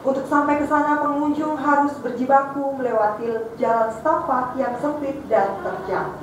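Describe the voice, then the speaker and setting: Woman, practice newsreader, formal, very high pitch, strong echo, faint room noise. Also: moderate pace. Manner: formal, even newsreader delivery. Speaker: police public relations officer. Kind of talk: news reading practice. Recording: echoey room, voice from far off.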